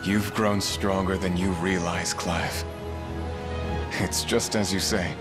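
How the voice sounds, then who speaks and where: An actor playing a guilt-ridden, weary fallen knight in a fantasy video game, speaking gravely in formal archaic language. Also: male voice, low-pitched, fairly even intonation, takes it slowly, loud music behind the voice. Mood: resolute, solemn.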